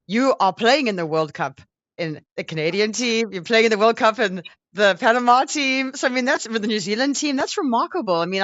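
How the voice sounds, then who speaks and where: A woman's voice: mid-range voice, a bit bright, no room sound, muffled recording. A woman interviewing a guest in a casual, conversational way.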